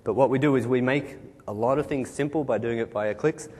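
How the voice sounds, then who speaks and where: A man presenting at a tech conference on a large stage, talking quickly and informally while losing his voice. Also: a little mellow. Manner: quick, informal, kind-of fillers. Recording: hall reverb, faint rumble.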